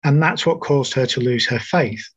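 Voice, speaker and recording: A middle-aged man with a New Zealand accent, a nurse guest telling his story in a measured, thoughtful way. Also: mid-range voice, husky, no room sound. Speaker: interview guest, registered nurse. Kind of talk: conversational interview storytelling.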